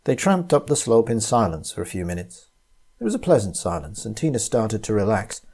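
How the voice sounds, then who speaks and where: A man reading a short story aloud as its narrator, in an expressive, dramatic way. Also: on the low side, a little fast, breathy edge.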